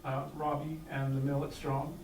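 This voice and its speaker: A man's voice: mid-range voice, flat delivery, normal speed, a little mellow. A man from the United States speaking from the floor at a meeting, hesitant, with many fillers and restarts. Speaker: clan member speaking from the floor. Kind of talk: unscripted remarks at a meeting. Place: meeting room. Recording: echoey hall, distant mic, faint hiss.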